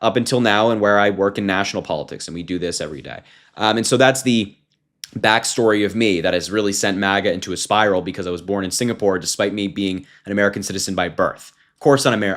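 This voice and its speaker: Mocking young man talking to camera. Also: lowish voice. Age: 20s. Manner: mocking, combative; informal, with fillers and restarts. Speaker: political commentator. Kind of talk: casual commentary to camera.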